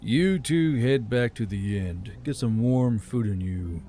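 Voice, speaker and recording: A man's voice: mid-range voice, expressive, measured pace, warm tone, a little hiss or hum, close to an everyday voice. A man playing a fond, resigned male leader in a fantasy audiobook. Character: gruff-hearted, fond male leader soothing his harpies. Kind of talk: character dialogue in a fantasy audiobook.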